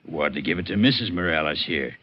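A shifty landowner in an old radio crime drama. He has a very low voice and an American accent, folksy and hesitant as he justifies himself. The sound is muffled and lo-fi. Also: lively intonation, a little fast, breathy edge. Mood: defensive. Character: shifty, defensive male landowner feigning concern. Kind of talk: 1950s radio drama acting. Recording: dull lo-fi 1950s radio recording.

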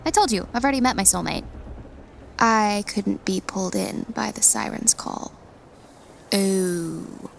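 Woman playing creaky, sardonic adventurer. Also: medium pitch, animated, measured pace, slight background noise. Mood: wry, unimpressed. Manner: dry, sardonic. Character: wry, blunt, unimpressed female adventurer with a soulmate. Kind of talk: audio drama acting.

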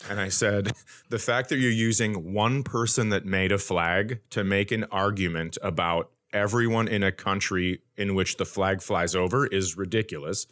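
A man's voice: fairly low pitch, fairly even intonation, normal speed, fairly bright tone. A man in his forties, a comedian and podcast host, telling stories casually and acting out the dialogue with mock bravado. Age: 40s. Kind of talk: casual comic storytelling.

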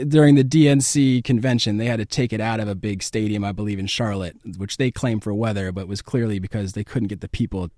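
A man's voice: lowish voice, steady tone, fast talker, hardly any pauses, dark tone, no echo. American man answering in an interview, dry sound. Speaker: interview guest, political campaign advance man.

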